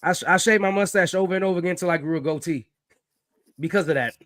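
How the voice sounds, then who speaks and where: Higher-voiced Caribbean-born man, tired co-host. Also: fast, fairly bright tone, dry recording, clean studio sound. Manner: easygoing, stammers and laughs. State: tired after work. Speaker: podcast co-host. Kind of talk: casual chat.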